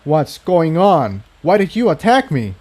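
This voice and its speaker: A man's voice: a little high, sing-song, unhurried, a little mellow, pitched above the performer's own voice. A man voicing an earnest, reasonable male unicorn hero in a fantasy story reading. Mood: confused.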